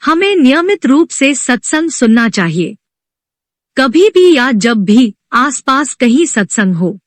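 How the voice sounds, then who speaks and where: A woman storyteller tells a devotional moral tale at a slow, measured pace. Her intonation moves widely and expressively. The recording is very dry and close, with no room sound. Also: high voice, no room sound. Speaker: storyteller and channel host. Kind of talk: scripted devotional storytelling narration.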